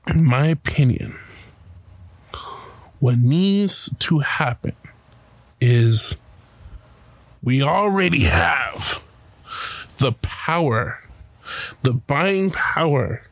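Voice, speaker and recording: A man telling a personal story about a protest in a casual, halting way, with many fillers and restarts. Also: mid-range voice, big pitch swings, no echo. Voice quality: loud voice.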